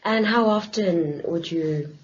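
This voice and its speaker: A woman's voice: fairly low pitch, takes it slowly. A woman interviewing a teacher, reacting with frequent encouraging exclamations. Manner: encouraging, many "wow" reactions. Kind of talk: casual interview. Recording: muffled lo-fi recording with faint hiss.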